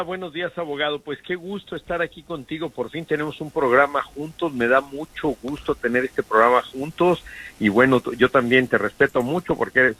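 Earnest Mexican-born radio co-host, dry studio sound. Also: male voice, medium pitch, husky, dry recording, rough recording. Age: middle-aged. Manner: warm, rambling, earnest, with many fillers. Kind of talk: radio talk show conversation. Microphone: studio microphone.

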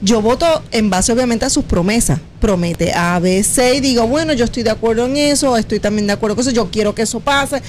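Female co-host, argues point by point, high voice, light noise behind. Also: lively intonation, a little fast, few pauses. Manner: argumentative, presses questions. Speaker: radio co-host. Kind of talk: radio talk show.

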